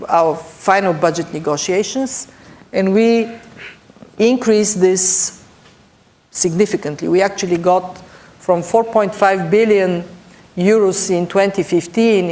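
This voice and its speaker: Middle-aged Bulgarian-accented woman speaking deliberately. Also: fairly low pitch, darkish tone. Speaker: panelist, European budget official. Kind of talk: panel discussion remarks.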